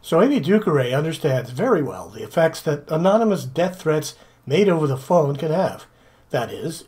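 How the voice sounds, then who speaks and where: A man's voice: on the high side, darkish tone, breathy edge. A man reading scripted, polemical voice-over narration.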